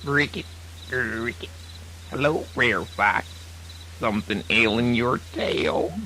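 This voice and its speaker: A sharp, gravelly little cricket speaks slowly over faint noise. He is inquisitive and chirpy, a tiny insect figure from a children's radio play. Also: medium pitch. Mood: curious, polite. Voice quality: creaky, chirping. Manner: punctuates talk with the word cricket like a chirp. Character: small, inquisitive talking male cricket. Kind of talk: children's radio theatre acting.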